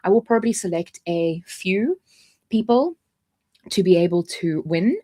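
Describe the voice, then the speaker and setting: A woman's voice: fairly low pitch, lively intonation, unhurried, warm tone. A woman with a South African accent hosting a casual livestream, chatty and jumping between points with frequent restarts. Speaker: livestream host.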